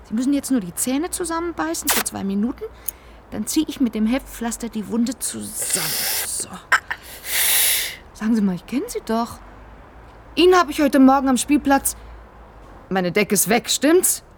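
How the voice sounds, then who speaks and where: Radio drama role: streetwise snack-stand woman, kind-hearted and bantering, very animated delivery. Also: on the high side, normal speed, long pauses, slight background noise. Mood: caring, chatty. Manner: chatty, bantering, plain-spoken. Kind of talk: German radio crime drama acting.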